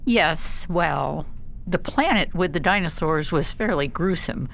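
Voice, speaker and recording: A woman's voice: deep voice, lively intonation, measured pace, vocal fry, a bit bright, a little hiss or hum, exaggerated voice. A woman playing an assertive, skeptical psychologist in a science fiction radio drama, with a dry, argumentative manner. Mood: dry, firm.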